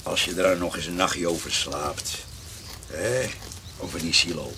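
Gravelly wry countryman, noisy recording. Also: deep voice, a little slow. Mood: dry, teasing. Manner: dry, blunt, colloquial. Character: wry, stubborn, teasing countryman, adult farmhand or farmer. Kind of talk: naturalistic radio drama acting. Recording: noisy radio play recording.

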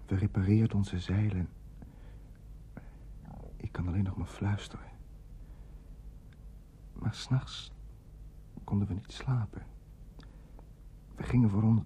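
Slow, dark-voiced, weary sick fisherman. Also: fairly low pitch, fairly even intonation. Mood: weary, grave. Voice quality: hushed, half-whispered. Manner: slow, grave storytelling. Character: sick, weary fisherman from a poor village, survivor of atomic fallout. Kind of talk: slow radio drama monologue. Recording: noisy old radio play recording.

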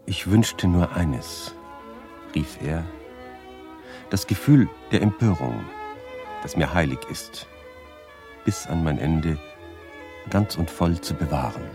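Grave fiery reciter, radio drama, gravelly bass, weighty halting phrases, music behind. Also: male voice, animated, full character voice. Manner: slow, weighty, halting delivery.

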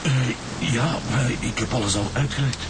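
A man playing an uneasy, defensive husband in a naturalistic radio drama, speaking haltingly and repeating himself in a Flemish accent. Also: medium pitch, noisy background, close to an everyday voice. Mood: defensive, shaken. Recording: music behind the voice.

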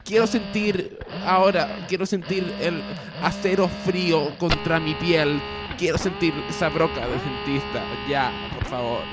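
A man's voice: a little high, a little slow, loud music behind the voice, lightly acted, poor audio. A man playing a despairing, whiny youngster in a parody TV drama promo, pleading melodramatically. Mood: anguished despair. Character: despairing, melodramatic youngster begging to end his misery.

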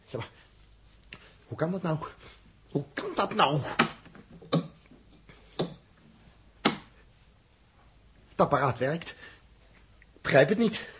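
Excitable, high-voiced male technician. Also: unhurried, heavily acted. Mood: bewildered. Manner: repetitive, agitated. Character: excitable, impatient spaceship technician operating signal equipment. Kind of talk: 1960s Dutch radio drama acting. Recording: rough early 1960s radio recording.

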